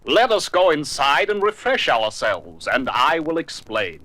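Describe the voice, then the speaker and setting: A proud, stern leader of dwarves in a children's storybook recording. His voice is fairly high, forceful and expressive, with formal, commanding delivery. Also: unhurried, full character voice. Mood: grave, solemn. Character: proud, stern dwarf leader, richly dressed.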